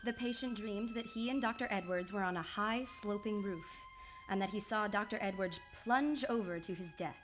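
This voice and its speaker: A woman acts out a shrewd young female psychoanalyst in a radio thriller. Her mid-pitched voice stays composed and measured. She lays out each point with assured, matter-of-fact precision. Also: faint music behind, lightly acted. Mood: calm, analytical. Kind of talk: old-time radio drama acting.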